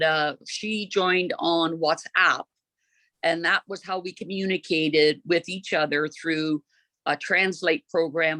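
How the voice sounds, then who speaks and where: A volunteer host, talking informally at a meeting and often pausing to search for words. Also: medium pitch, sharp tone, no room sound.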